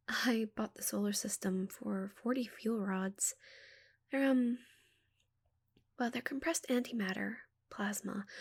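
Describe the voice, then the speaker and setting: Breathy, whispery woman playing a shy alien giantess. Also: mid-range voice. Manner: hesitant, stammering, flustered. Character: shy, curious giant female alien, touch-starved and awkward. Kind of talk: ASMR roleplay.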